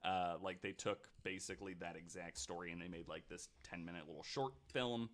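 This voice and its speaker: A man's voice: low-pitched, fairly quick, a bit bright. A man hosting a podcast, telling a story in casual chat, reading quotes aloud with lots of fillers. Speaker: podcast host.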